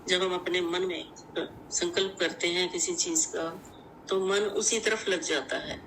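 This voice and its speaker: A woman leads a guided meditation in measured, deliberate, instructive short phrases. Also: on the low side, fairly even intonation, fairly bright tone, slight background noise, poor audio.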